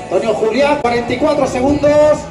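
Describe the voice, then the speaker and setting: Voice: sits high, fairly quick. A finish-line announcer calls a live race over a PA, stretching names out emphatically and rallying applause. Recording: echoey PA at crowded event, music behind.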